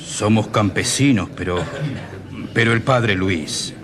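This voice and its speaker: A loyal, humble peasant in a radio play. He talks haltingly in a lowish, unhurried voice, with a crowd loud behind. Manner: halting, simple. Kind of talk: radio drama acting. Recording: distant voice over crowd noise.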